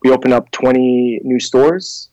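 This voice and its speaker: A man's voice: medium pitch, fairly even intonation, unhurried, mellow, no room sound, highs cut off. Man in his thirties, from Canada, rambling storytelling, frequent ums, dry close sound. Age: 30s. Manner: rambling, hesitant, many fillers and restarts. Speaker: guest, clothing brand founder. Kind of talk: casual interview storytelling.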